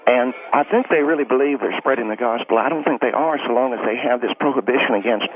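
A man speaking as a reverend guest over the phone, halting with many fillers. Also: mid-range voice. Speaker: youth ministry reverend guest. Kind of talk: phone-in interview. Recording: tinny noisy phone line.

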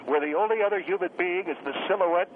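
A man's voice: high voice, quick pace, close to an everyday voice. A man acts out an irascible male scientist calling a comedy radio show. He harangues and belittles in a condescending way. The line is thin and telephone-like, with faint noise. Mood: mocking. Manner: haranguing, insulting, goading. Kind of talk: comic radio phone-in. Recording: tinny, noisy phone line.

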